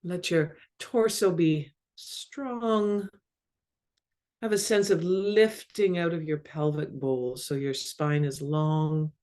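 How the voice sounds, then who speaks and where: A woman leading a guided meditation as a mindfulness coach, speaking calmly and measuredly in short phrases with long pauses. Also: sits low. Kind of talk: guided body scan meditation.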